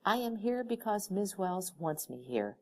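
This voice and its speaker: A woman voicing a formal, unflappable and over-precise gentleman visitor in an audiobook story. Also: mid-range voice, sing-song, measured pace, pitched above the performer's own voice. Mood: courteous, formal.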